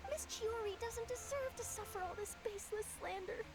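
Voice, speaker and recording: An actress playing an eager, principled young female journalist who talks briskly and earnestly. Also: very high-pitched, music in the background.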